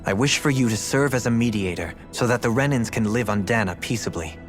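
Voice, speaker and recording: An actor playing a formal, solemn young former lord in a video game, speaking in a measured, courteous way. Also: male voice, mid-range voice, lightly acted. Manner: formal, measured, tense when asking favors. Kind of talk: dramatic video game cutscene acting. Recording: music playing behind the voice.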